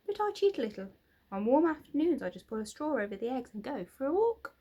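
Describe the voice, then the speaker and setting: A sly mother goose from a storybook, put on by a woman, high and husky. She chatters and repeats her words in little bursts. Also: big pitch swings, fast, warm tone, full character voice. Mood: chatty.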